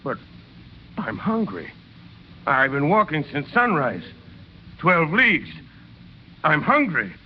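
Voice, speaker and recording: An actor playing a hungry, humble ex-convict traveler with halting, plain-spoken pleading in an old radio drama. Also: male voice, fairly high pitch, huge pitch swings, exaggerated voice. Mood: insistent, hungry. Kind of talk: 1930s radio drama acting. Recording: muffled, noisy vintage radio recording.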